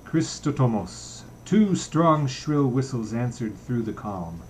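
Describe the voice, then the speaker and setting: Guy reading a novel out loud, middle pitch, bit noisy recording. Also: expressive, slow pace. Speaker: audiobook reader. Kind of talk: literary narration, reading a novel aloud.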